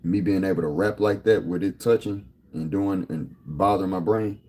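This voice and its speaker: A man's voice: low-pitched, fairly even intonation, moderate pace, warm tone. A man in his thirties talking casually to camera, boastful and rambling, full of street slang. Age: 30s. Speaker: vlogger.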